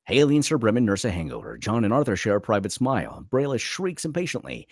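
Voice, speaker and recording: A man's voice: medium pitch, very animated, quick pace, husky, dry recording, studio quality. A man narrating a screenplay dramatically at a table read.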